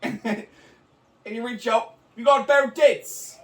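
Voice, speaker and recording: A man's voice: extremely high pitch, steady tone, slow pace, roomy sound, recorded from a distance, full character voice. A man doing a put-on British accent as a rowdy, crude bloke in an improvised comedy bit. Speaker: podcast co-host.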